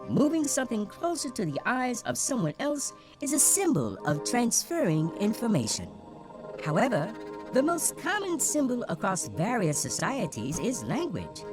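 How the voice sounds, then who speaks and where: A woman voicing a singsong lecturing swan. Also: mid-range voice. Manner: singsong, lecturing, wildly swooping. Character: cheerful swan hosting a sociology lesson video. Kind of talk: instructional video narration.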